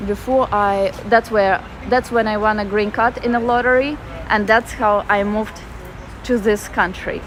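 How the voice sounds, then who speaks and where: A woman's voice: medium pitch, steady tone, a little slow, low-quality recording. Russian-accented woman livestreaming on a city walk, chatty and loose, faint traffic behind her. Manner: chatty, reads viewer comments aloud with fillers. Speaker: walking livestreamer. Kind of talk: casual live-stream chat reading comments. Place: outdoors in a city park.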